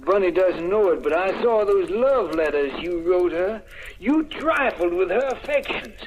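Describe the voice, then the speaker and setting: Slow and high in pitch, a jealous, dim gunman from a vintage radio mystery comedy. He threatens deliberately, yet sounds gullible. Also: expressive, dull audio. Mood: menacing. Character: menacing, slow-witted jealous husband with a gun. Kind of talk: old-time radio mystery comedy acting. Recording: old radio recording with faint noise.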